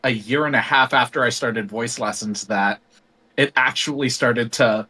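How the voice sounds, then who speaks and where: A man talking as a guest singer in a casual interview, thoughtful and rambling with many pauses and ums. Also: medium pitch, pushed and bright.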